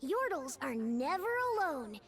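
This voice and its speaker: Very high-pitched chipper little scout creature. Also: female voice, big pitch swings, unhurried, over loud music. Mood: chipper, earnest. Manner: earnest, perky, reciting rules like a proud scout. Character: small chipper male yordle scout leader, earnest, naive, adventurous. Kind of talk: video game champion voice lines.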